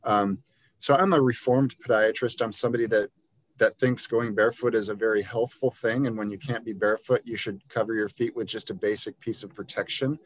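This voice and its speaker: A man's voice: on the low side, fairly even intonation, brisk. A man, a podiatrist guest on an interview podcast, explaining his views in an earnest, conversational way with frequent ums and restarts. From Liberia.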